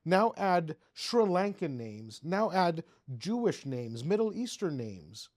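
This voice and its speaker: Animated Greek-born man hosting an interview. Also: on the high side, takes it slowly, no room sound, studio quality. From Greece. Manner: animated, builds examples with rising emphasis. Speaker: podcast host. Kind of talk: conversational interview.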